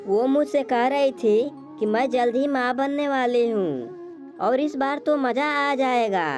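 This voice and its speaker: A woman voicing a sly, greedy male crow in a cartoon moral story, smooth-talking with false friendliness. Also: high-pitched, slow pace, a little mellow, faint music behind. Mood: scheming, gleeful.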